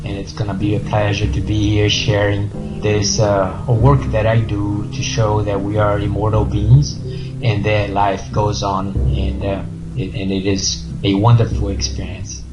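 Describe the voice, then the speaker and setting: A man with a Brazilian Portuguese accent, whose first language is Portuguese, talks through a dull, lo-fi line. He answers in a halting way, full of fillers and restarts, and his voice sits fairly low. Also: completely flat delivery, loud music behind the voice. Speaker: guest author. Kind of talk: casual interview answers.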